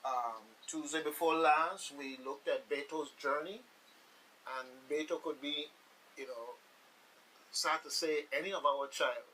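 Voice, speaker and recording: A man's voice: on the high side, unhurried, sharp tone, a little hiss or hum, rough recording. A man hosting a radio talk show in a conversational, folksy way, speaking directly to the listeners. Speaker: radio host.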